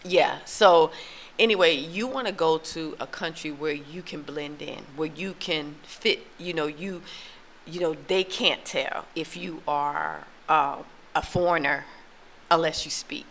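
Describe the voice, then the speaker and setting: A woman's voice: low voice, unhurried, fairly bright tone, a little hiss or hum, rough recording. An American woman living abroad, talking casually to her viewers in a rambling opinion monologue full of fillers and restarts. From United States. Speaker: relocation vlogger. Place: living in Tanzania.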